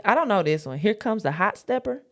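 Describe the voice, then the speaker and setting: A woman's voice: medium pitch, lively intonation, fairly quick. A woman in her thirties co-hosting a podcast, chatting casually and breaking into bits of songs. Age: 30s. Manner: playful, breaks into snatches of song. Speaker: podcast co-host. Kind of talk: casual chat.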